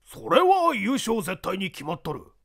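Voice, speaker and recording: A blustering, skeptical company boss in an audio story , acted out by a male reader. He pushes his raised voice hard, with bossy emphasis and big swings in pitch. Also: huge pitch swings, unhurried, creaky, a bit bright, heavily acted.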